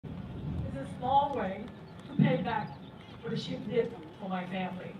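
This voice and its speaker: A woman in her sixties who grew up in Vietnam and speaks Vietnamese as her first language, giving a slow, emphatic, halting speech. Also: a little high. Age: 60s. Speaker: museum docent, speaker. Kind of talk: prepared public speech. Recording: echoey, distant, very noisy.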